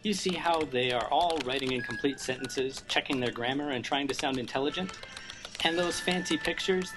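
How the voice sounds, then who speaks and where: Man playing an earnest, higher-pitched young informant. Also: lightly acted. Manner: earnest, explanatory. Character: earnest, defensive young male blog, personified informant. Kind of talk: amateur noir radio drama acting.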